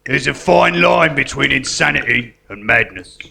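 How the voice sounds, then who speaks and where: A man playing an earnest, deluded artist in prison in a live stage comedy, matter-of-fact and deadpan. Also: mid-range voice, moderate pace, vocal fry, breathy edge, exaggerated voice. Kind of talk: live comedy theatre acting. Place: live performance in a museum. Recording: noisy live stage recording.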